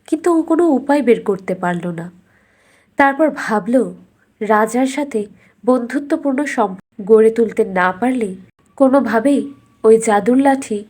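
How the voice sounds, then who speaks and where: A woman's voice: on the high side, a little mellow. A woman storyteller reading scripted narration for a fairy tale.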